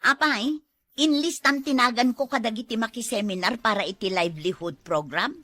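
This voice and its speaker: A woman's voice: fairly high pitch, moderate pace, bright, forceful tone, no echo. A woman with an Ilocano accent playing an ambitious, touchy village wife in a comedy drama. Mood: eager.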